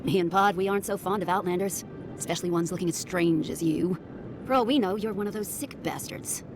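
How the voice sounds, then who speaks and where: A woman's voice: on the low side, a little fast, noisy background, close to an everyday voice. A woman voicing a theatrical female bandit boss in a video game, taunting and grandiose as she threatens. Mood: menacing.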